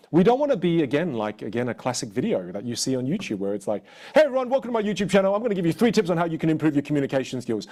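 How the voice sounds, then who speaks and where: A man with an Australian accent coaching a live online audience in an energetic, encouraging way. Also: medium pitch. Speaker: communication coach.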